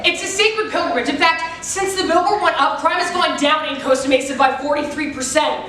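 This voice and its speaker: A woman's voice: high-pitched, a little fast, pushed and bright. A woman playing an earnest average American conspiracy believer on stage, speaking with emphatic, mock-serious conviction. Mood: indignant. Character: earnest average American who believes birds are drones. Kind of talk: comedic staged reader's theater. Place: stage at a speech competition. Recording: echoey noisy hall, distant mic, audience noise.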